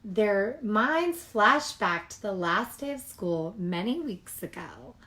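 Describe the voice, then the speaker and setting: A woman reading a picture book aloud to young children in an animated, expressive way, with casual asides. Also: mid-range voice, slow pace.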